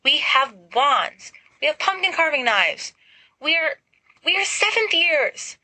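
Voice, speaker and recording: A woman plays a fiery teenage witch in a storybook reading, high and bright. She is snappy and bossy, giving orders with an exasperated, hysterical edge. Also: sing-song, slow, heavily acted, low-quality recording. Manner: snappy, commanding, a tad hysterical.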